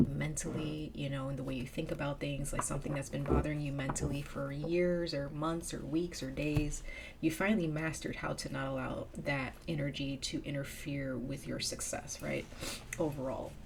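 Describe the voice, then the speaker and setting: A woman's voice: deep voice, little pitch movement, moderate pace, gravelly, heavy background noise. A woman giving a casual tarot reading, slightly nasal as she gets over a cold, chatty and sometimes dropping to a whisper. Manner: chatty, drops to a whisper in invocations. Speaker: tarot reader.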